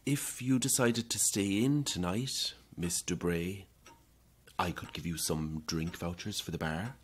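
An actor playing a courteous, considerate hotel receptionist in a radio drama, polite and obliging. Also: male voice, deep voice, measured pace, a little hiss or hum. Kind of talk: naturalistic radio drama acting.

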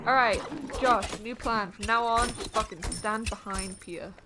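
A woman's voice: mid-range voice, unhurried. A woman with a Yorkshire accent streaming a team game, calling orders to her teammates, swearing and laughing easily. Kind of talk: casual gaming chat. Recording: game music underneath.

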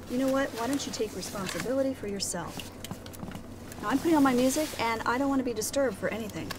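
A woman's voice: a little high, fast, close to an everyday voice. An actress playing an exasperated, sarcastic mother scolding in a film, with a busy cabin behind her. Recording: film audio with cabin crowd noise.

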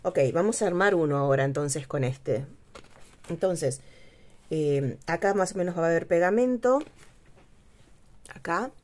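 A middle-aged woman talks through a craft project at her table. Her voice sits low, and she speaks in a relaxed, meandering way. She often stops to hunt for the right word. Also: slight background noise. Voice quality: low, unhurried voice with many pauses and fillers. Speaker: craft channel host. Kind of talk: casual craft tutorial, thinking aloud. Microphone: overhead camera, speaker off screen.

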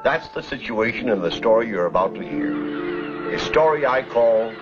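An older male host narrating in a folksy, storytelling way. His pitch rises and falls with feeling. The audio is dull and old, with music clearly underneath. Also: lively intonation, normal speed. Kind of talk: scripted TV narration.

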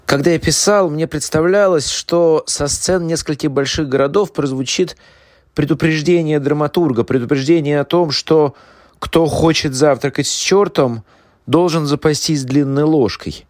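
A man reading a writer's words from a script, deliberately, phrase by phrase. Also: on the high side, darkish tone, no room sound, studio quality. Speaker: reader of quotations.

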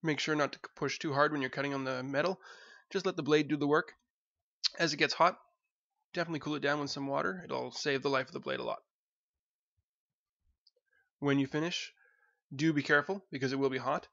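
A man's voice: on the high side, fairly even intonation, brisk, creaky, long pauses, a bit bright, dry recording, dull audio. A young man narrating his home project step by step, plain and explanatory, with some fillers. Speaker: DIY video host.

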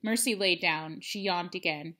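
A woman in her thirties reading a storybook aloud to children, expressively and with emphatic pauses. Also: fairly low pitch, bright, forceful tone. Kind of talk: animated children's read-aloud. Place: indoors, living room at home.

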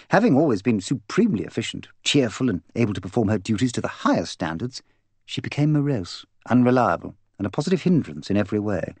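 A man's voice: on the low side, darkish tone, breathy edge, no room sound. A man voicing an imperious, gossipy, opinionated English woman in an audiobook, bossy, emphatic and voluble. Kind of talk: audiobook character dialogue.

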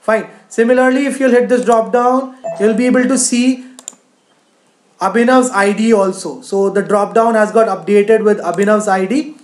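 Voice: medium pitch, moderate pace, echoey room, a little hiss or hum. A teacher narrating a screen-share tutorial in an explanatory way, often saying "you know". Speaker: coding teacher.

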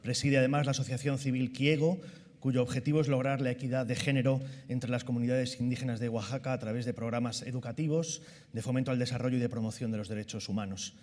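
Man, presenter, formal introduction, fast pace, mid pitch, hall reverb. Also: steady tone, a little mellow. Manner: brisk, formal.

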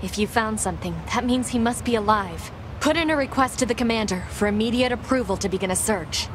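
A woman's voice: on the high side, fast talker, noisy background, close to an everyday voice. A woman playing a stoic young female android soldier in a video game, clipped, formal and restrained. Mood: controlled, terse.

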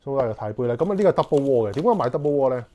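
A man's voice: medium pitch, expressive, rapid-fire, warm tone. A man in his forties with a Hong Kong Cantonese accent, unboxing camping gear in casual chat and thinking aloud with many fillers, recorded on a lapel mic in a small living room. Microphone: clip-on lapel mic.

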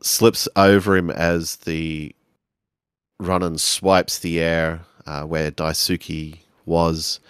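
Deep-voiced man, very slow and halting. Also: flat delivery, fairly bright tone, clean tone, dry recording, studio quality. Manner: halting, searching for words. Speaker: tabletop role-player. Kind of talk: improvised tabletop game narration.

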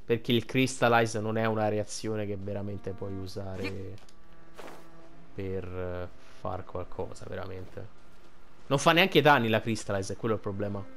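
A man's voice: on the low side, steady tone, a little slow, vocal fry, a bit bright, a little hiss or hum. A man giving casual, informal playthrough commentary as a gaming streamer, thinking aloud.

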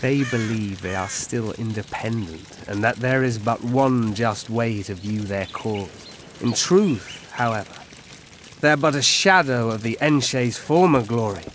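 An actor voicing an old elf forest scout in a video game, grave and weary, speaking in measured, formal phrases. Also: male voice, mid-range voice, natural voice. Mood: bitter, resigned. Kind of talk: video game dialogue acting. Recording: clear ambient noise behind.

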